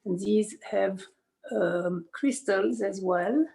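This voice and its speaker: A woman's voice: medium pitch, slow pace, creaky, warm tone. A woman from Switzerland gives an informal explanatory studio tour as an artist and costume designer, often searching for words and adding "you know".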